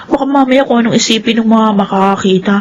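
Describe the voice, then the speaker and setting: A woman's voice: a little high, fast, muffled recording, background noise, lightly acted. An actress playing a conscientious young office worker in a Filipino radio drama, guarded around her brother-in-law. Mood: uneasy, polite.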